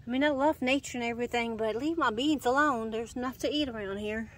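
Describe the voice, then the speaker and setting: A woman's voice: a little high, fast talker. A woman with a stuffy, nasal voice from congestion, rambling and correcting herself as she walks through her home garden outdoors, filming with a handheld camera. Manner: rambling, self-correcting, sighing. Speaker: gardening vlogger. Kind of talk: casual garden walk-through. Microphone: handheld camera filming while walking.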